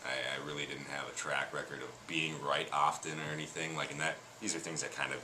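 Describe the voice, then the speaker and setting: A man, a teacher, answering interview questions casually with a deep voice, rambling a little with fillers. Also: level tone, quick pace, background noise.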